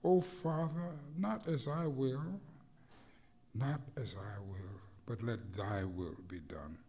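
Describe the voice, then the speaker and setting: A man voicing a sorrowing Jesus praying to his Father, in a slow, mournful, drawn-out plea. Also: a little high, very animated, darkish tone, full character voice. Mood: sorrowful pleading.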